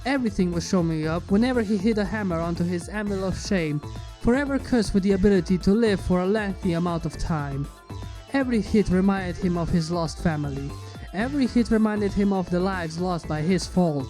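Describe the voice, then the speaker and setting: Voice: medium pitch, a little fast, a little mellow. A narrator of ambiguous-sounding sex reading a scripted fan fiction story in a dramatic storytelling style. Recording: music behind the voice.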